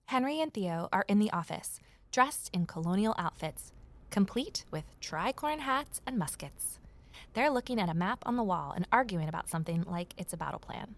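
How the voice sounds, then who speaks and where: An actress playing a determined, resourceful amateur sleuth in an audio drama, brisk and persuasive as she narrates and talks. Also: mid-range voice, lively intonation, close to an everyday voice. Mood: urgent, observant. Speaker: narrator and lead character.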